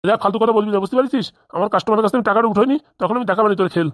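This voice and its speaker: Woman acting a grumpy, quick-talking village husband. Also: mid-range voice, no echo, clean studio sound, close to an everyday voice. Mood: irritated, defensive. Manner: gruff, dismissive, quarrelsome. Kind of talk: comedy cartoon dialogue.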